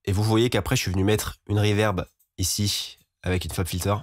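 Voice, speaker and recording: Fast, low-voiced man teaching. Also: steady tone, dry recording, studio quality. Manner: informal, explanatory. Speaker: music production tutorial host. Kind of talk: software tutorial voice-over.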